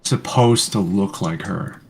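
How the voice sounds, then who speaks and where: A man's voice: low-pitched, slow pace, vocal fry, a crowd in the background. A man chatting casually as he comments on a game with a friend. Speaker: gamer watching and commenting with a friend. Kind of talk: casual chat. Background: game music.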